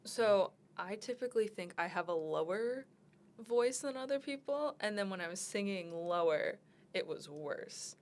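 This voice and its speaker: A woman's voice: mid-range voice, animated, a little slow. A young woman co-hosting a podcast in casual chat, giggly and self-deprecating. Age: young adult. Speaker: podcast co-host.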